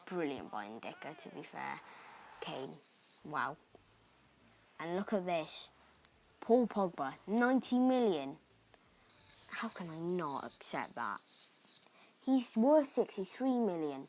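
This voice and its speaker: A young man from Cardiff with a Cardiff Welsh accent, chatting excitably through gameplay commentary with rambling asides. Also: on the high side, slow pace, long pauses, a little hiss or hum, low-quality recording. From Cardiff, Wales. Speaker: gaming YouTuber.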